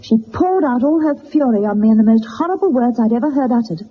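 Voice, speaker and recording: An actress playing an earnest, distressed young governess who speaks formally and hesitantly in a radio drama. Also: female voice, a little high, expressive, fast talker, highs cut off, a little hiss or hum, lightly acted. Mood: haunted, distressed. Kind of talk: dramatised detective radio play.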